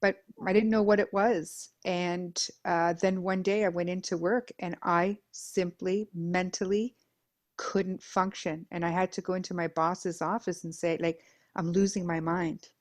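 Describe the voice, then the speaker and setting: A middle-aged woman from Denmark, a guest on an interview, speaking earnestly about her own life, repeating phrases in lists and pausing with fillers. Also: lowish voice, level tone. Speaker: guest, Reiki master and life coach. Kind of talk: reflective personal interview.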